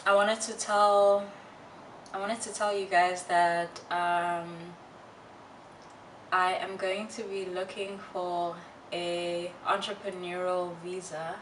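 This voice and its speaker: Slow-talking woman vlogger in a roomy space. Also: on the low side, flat delivery, a bit bright. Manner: candid, halting and reflective with slangy asides. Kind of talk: casual personal chat to viewers. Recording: roomy, distant mic, faint noise.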